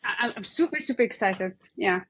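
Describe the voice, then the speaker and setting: A woman from Egypt with an Egyptian accent, speaking casually and haltingly as an interview guest, often saying "like" as she thinks aloud. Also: medium pitch, expressive, a bit bright, husky, lo-fi sound.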